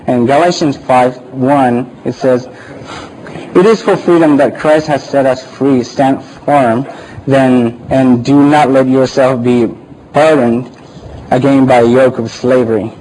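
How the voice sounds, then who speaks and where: A man with a Japanese accent, whose first language is Japanese, gives an informal church talk, often pausing and searching for words. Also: mid-range voice, fairly even intonation, warm tone, a little hiss or hum, poor audio. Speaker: church speaker.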